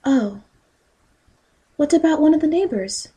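Woman doing sweet naive young girl, high soft voice, polite n timid, fairy tale radio play. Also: expressive, measured pace. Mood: innocent. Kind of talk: audio drama fairy tale acting.

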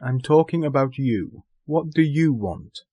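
A self-assured theatre director in a radio play. He is frank and brusque, with very lively pitch in a dry, close sound. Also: measured pace, slightly rough, no room sound. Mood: frank, guarded. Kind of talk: radio drama acting.

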